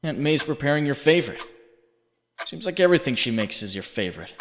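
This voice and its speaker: A man playing a haunting inner voice, narrating in the second person with deliberate, accusing, dramatic delivery. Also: mid-range voice, sing-song, a bit bright. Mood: nostalgic, solemn. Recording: long reverb, faint background noise.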